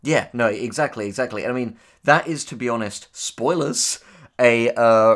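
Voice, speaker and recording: A man with a fairly low voice co-hosts an informal commentary discussion. He is articulate and measured, stating his opinions clearly as he reasons things through. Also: fairly bright tone. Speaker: co-host, audio drama writer.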